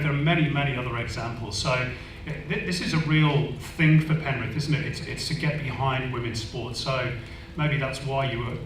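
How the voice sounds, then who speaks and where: A man's voice: medium pitch, a bit bright, slight background noise. A man from Manchester moderating a live panel in front of an audience, with jokey, teasing banter. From Manchester, England. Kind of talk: hosting a live panel discussion. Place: function room with an audience. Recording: echoey hall, distant mic.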